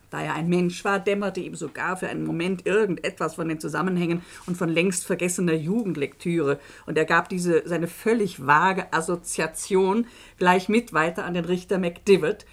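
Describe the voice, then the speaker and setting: An actress playing an assured doctor who narrates a case report in a dry, articulate, measured way in a German radio play. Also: female voice, on the low side. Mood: wry.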